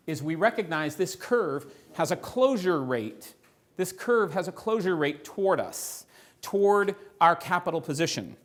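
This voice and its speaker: Lively high-voiced man addressing a room. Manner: animated, asks the audience rhetorical questions. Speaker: financial presenter. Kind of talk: live talk to an audience. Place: room with a live audience.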